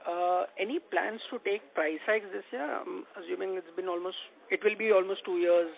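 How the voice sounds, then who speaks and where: Higher-pitched man, creaky, on phone. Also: normal speed. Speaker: financial analyst asking questions. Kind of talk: conference call questioning. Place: conference call. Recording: narrowband phone line.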